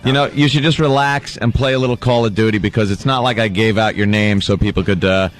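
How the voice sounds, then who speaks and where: A man's voice: lowish voice, fairly even intonation, quick pace, soft background music. A man co-hosting a radio show in casual, teasing banter.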